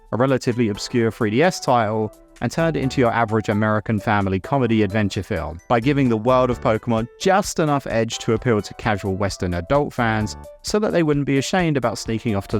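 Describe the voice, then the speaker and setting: A man narrating a scripted video essay in a wry, opinionated way, with casual asides. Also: mid-range voice. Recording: music under the voice. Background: background music.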